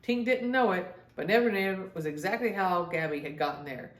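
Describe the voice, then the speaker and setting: A woman reading a children's story aloud in an expressive storytelling style, speaking as if to young listeners. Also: fairly low pitch, moderate pace, echoey room. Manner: addresses young children directly, acts out dialogue. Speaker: children's storybook reader and host.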